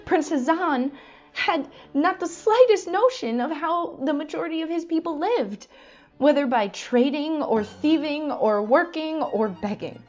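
Audio drama princess, female actor: young, proud, high-principled, declaims earnestly; dull lo-fi recording. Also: lively intonation, measured pace, a little mellow. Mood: scornful.

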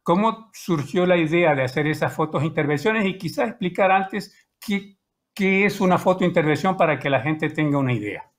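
A man's voice: fairly high pitch, lively intonation, moderate pace. Older man interviewing over a video call. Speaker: radio interviewer. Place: indoor room with bookshelves.

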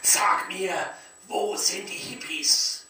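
A man's voice: high-pitched, steady tone, slow pace, pushed and bright, faint music behind. An older man performing comic poetry live on a cinema stage. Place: dark cinema stage at a lectern. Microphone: distant camera in the audience. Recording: very echoey hall from far off, faint noise.

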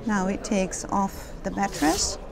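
A woman exhibitor walks a visitor through a compact caravan, explaining each feature plainly. Her phrasing is simple and a little non-native, her pitch mid-range. A steady trade fair noise is clearly heard behind her. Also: slow. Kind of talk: product demonstration.

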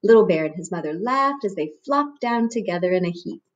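A woman's voice: medium pitch, expressive, fairly quick, dull audio. A woman, narrating a picture book expressively for children as a storyteller. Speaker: read-aloud host, storyteller. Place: small room at home, on a couch.

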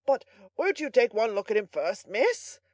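A woman voicing a pompous, self-important old male servant in a storybook, excitable, blustering and boastful. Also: on the low side, moderate pace, dry recording, studio quality. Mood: proud complacency. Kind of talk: audiobook character voice.

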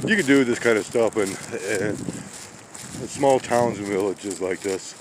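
Slow folksy man walking in the wind. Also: lowish voice. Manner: rambling, folksy exclamations. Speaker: vlogger on a weather walk. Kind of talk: casual walking commentary. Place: outdoors on small-town streets in snow and wind. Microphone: handheld phone camera. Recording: wind noise on the mic.

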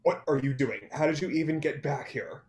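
A man's voice: a little high, fairly quick, a little mellow, a little hiss or hum, natural voice. A young man reading the part of a sarcastic, impatient music performer in a play. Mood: annoyed. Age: young adult. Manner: curt, sarcastic. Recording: echoey room on video call.

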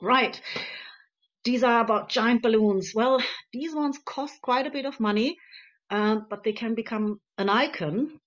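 A woman's voice: fairly high pitch, measured pace. Earnest woman presenting slides on a video call. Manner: many ums and uhs, earnest and encouraging. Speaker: conference speaker on activism. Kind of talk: presenting slides in a webinar talk. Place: home room on a video call. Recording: slightly dull lo-fi video call audio.

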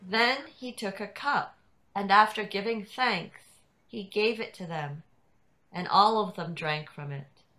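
Woman, scripture narrator, bright crisp-edged tone, deliberate scripted reading, medium pitch. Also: rough recording. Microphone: video call.

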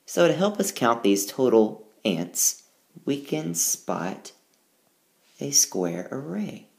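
A male teacher reading a rhyming picture book aloud and walking through the math, recorded as a tablet screencast voice-over. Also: gravelly. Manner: slow, sing-song rhyming read-aloud with lively pitch.

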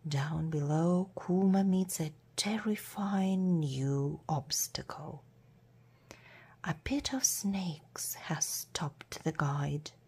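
A woman reading a children's adventure storybook aloud as a narrator, in a measured, deliberate way with hushed word endings. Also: lowish voice, slightly rough. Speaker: storybook reader.

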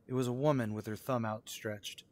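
A man's voice: medium pitch, fairly even intonation, moderate pace, a little mellow, close to an everyday voice. A male actor plays a motorist haunted on the open road in an old-time suspense radio play. His mid-range voice is intense and dramatic. He grows obsessive and stumbles over words when rattled. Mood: haunted, brooding. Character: haunted cross-country motorist, man, anxious, increasingly obsessive. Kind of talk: old-time radio suspense drama acting, first-person narration and dialogue.

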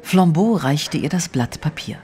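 A man's voice: fairly high pitch, expressive, unhurried, darkish tone, slight background noise. A man narrating a scripted audio drama as its storyteller.

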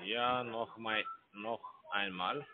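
A man who is not a native German speaker, phoning from a call center, speaking halting broken German and repeating words. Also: lowish voice, steady tone. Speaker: scam call center caller. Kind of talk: phone call. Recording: tinny phone line.

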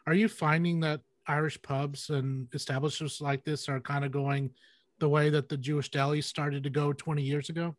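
Male interviewer, fairly high, dry sound. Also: level tone, normal speed, no echo. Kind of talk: casual interview questions. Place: indoor room on a video call.

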